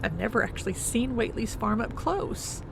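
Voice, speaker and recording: An actress playing a composed, knowledgeable professor in an audio drama, with a creaky voice and precise, formal delivery. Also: female voice, a little high, expressive, normal speed, noisy background. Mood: matter-of-fact. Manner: precise, formal, self-possessed. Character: composed, knowledgeable female professor, older than her companion.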